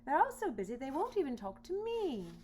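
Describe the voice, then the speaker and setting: A woman's voice: high voice, very animated, brisk, a little mellow, pitched above the performer's own voice. A woman voicing an indignant, gossiping male boar in a children's storybook, huffy and emphatic as it grumbles. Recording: noisy home recording with hum.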